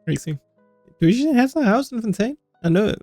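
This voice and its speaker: A woman's voice: fairly high pitch, expressive, moderate pace, warm tone, no room sound. A woman doing casual Let's Play commentary, muttering blunt, sweary reactions to a game. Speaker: gaming streamer reacting to gameplay. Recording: game music behind voice.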